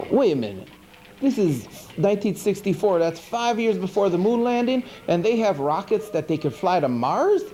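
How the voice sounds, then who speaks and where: Monster movie host male performer: excitable, sardonic, slangy rambler, highish but soft-edged voice, faintly noisy VHS sound. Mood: mock-indignant. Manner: casual, rambling, mock-indignant slangy delivery. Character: goofy chatty monster horror-show host, excitable and sardonic. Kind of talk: comic movie recap monologue. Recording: noisy 1980s VHS TV recording.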